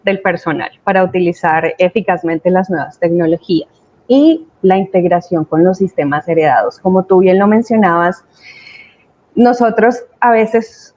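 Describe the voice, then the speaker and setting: A woman's voice: medium pitch, normal speed, a little mellow. A woman with a Latin American accent speaking as a webinar panelist, explaining her points with emphasis. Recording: dull, lo-fi online call sound.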